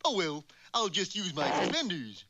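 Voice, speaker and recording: An actor voicing a dim but self-assured moose giving mock instruction in a cartoon, in a dopey tone. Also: male voice, high voice, wildly swooping pitch, normal speed. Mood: earnest. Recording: noisy old cartoon soundtrack.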